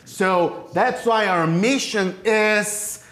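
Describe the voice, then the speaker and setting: A man with a Brazilian Portuguese accent presents to a church congregation, his English that of a Portuguese native speaker. His voice sits a little higher than most men's, and he talks in a joking, self-deprecating way about his own accent. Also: very slow, fairly bright tone. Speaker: missionary pastor.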